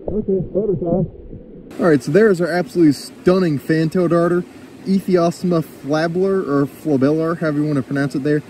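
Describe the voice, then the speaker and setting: A man's voice: a little high, a little fast, mellow, slight background noise. A young man narrating his fishing outdoors by a mountain creek, chatty and enthusiastic, recorded on a body-worn action camera. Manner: enthusiastic, chatty, filler-laden. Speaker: fishing vlogger.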